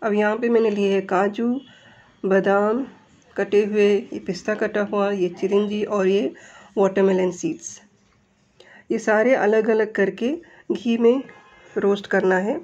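Woman, Indian accent, medium pitch, home-cook narration, step-by-step instruction, slightly muffled lo-fi sound. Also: fairly even intonation, measured pace, a little mellow. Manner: explanatory, step-by-step. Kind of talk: instructional recipe voice-over.

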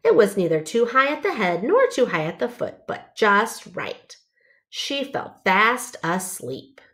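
A woman's voice: a little high, big pitch swings, a little slow, fairly bright tone. A woman narrating a children's storybook, reading aloud carefully and expressively.

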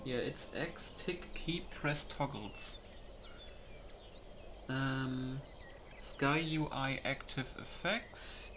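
A man's voice: mid-range voice, drawn-out words, long pauses, noisy background. A man giving casual gameplay commentary, muttering as he reads menu options aloud. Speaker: gamer recording a playthrough. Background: music.

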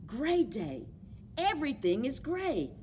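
A middle-aged woman hosting a children's story time in an animated, encouraging way, as if leading little listeners along. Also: sits high, slow pace. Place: indoors among library bookshelves. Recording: clear background noise, room ambience.

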